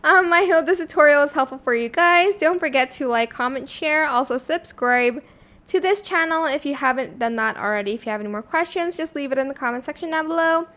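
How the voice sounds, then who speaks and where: A woman with a high, youthful-sounding voice hosting a casual software tutorial in a chatty, informal way, thinking aloud as she goes. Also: brisk. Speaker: tutorial host.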